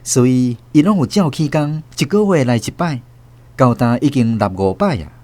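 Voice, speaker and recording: A male narrator with a Taiwanese Hokkien accent tells a radio drama story. His medium-pitched voice moves with lively, animated intonation. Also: normal speed. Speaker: host and narrator.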